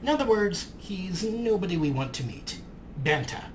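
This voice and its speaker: Male let's play host, lively swooping delivery, theatrical phrasing, faint noise behind. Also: high-pitched, normal speed. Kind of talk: gameplay commentary.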